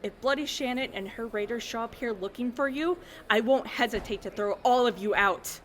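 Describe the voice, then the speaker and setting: A woman acts a hostile female enforcer in a wasteland audio drama. Her voice sits fairly high, and she speaks with sneering authority, accusing and suspicious of outsiders. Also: moderate pace, fairly bright tone, natural voice. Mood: stern threat. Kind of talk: post-apocalyptic audio drama acting.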